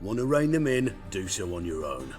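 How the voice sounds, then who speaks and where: An actor playing a blunt, commanding gang boss in a video game. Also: male voice, low-pitched, sing-song, moderate pace, darkish tone, soft background music. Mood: blunt, businesslike. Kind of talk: video game cutscene acting.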